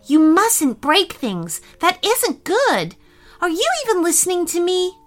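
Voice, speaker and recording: A woman's voice: very high voice, big pitch swings, measured pace, voice pushed up, heavily acted. A woman voicing a kind, caring young girl in a storybook reading, speaking in a pleading, earnest way. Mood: scolding.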